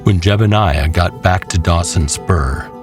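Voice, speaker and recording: A man with a very low voice tells a scripted tale at an unhurried pace. He draws his phrases out for dramatic weight, each one measured. Music plays clearly behind the narration. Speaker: storyteller.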